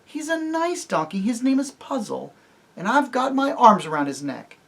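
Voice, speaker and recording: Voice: mid-range voice, wildly swooping pitch, fairly quick, husky, voice pushed up, exaggerated voice. A reader voicing a brave, cheeky young girl who talks eagerly. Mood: pleading. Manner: eager, pleading.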